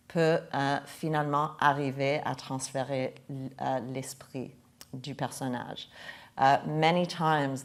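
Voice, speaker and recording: Woman host, very low voice, halting delivery full of restarts, talk in a museum hall. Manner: hesitant, many restarts, switches between French and English. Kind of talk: bilingual public talk. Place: museum hall before an audience.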